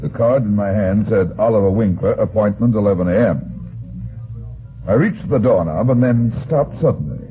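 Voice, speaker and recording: An actor playing a wry, blunt private detective in an old radio drama, with a terse, tough, sardonic delivery. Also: male voice, fairly low pitch, moderate pace, lightly acted. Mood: wry narrating. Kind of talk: old-time radio detective narration and dialogue. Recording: thin, phone-like vintage radio recording.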